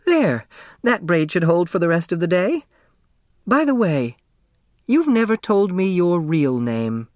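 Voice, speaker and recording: A man voicing a gentle, wistful, chatty older motherly seamstress in an audiobook. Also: high voice, sing-song, a little slow, no room sound, clean studio sound, pitched above the performer's own voice. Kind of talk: audiobook character dialogue.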